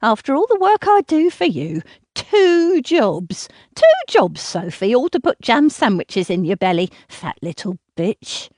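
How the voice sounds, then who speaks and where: A woman's voice: sing-song, unhurried, no echo, clean studio sound. A woman voicing a cruel, self-pitying, volatile middle-aged mother who berates and pleads by turns. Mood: berating. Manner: shrill, berating, swinging to sobbing pleas.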